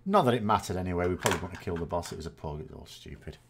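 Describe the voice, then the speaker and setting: A man's voice: deep voice, lively intonation, very fast, creaky, noisy background. A man, chatting casually and rambling as a gaming host answering viewer questions, often correcting himself with dry self-mockery. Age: 40s.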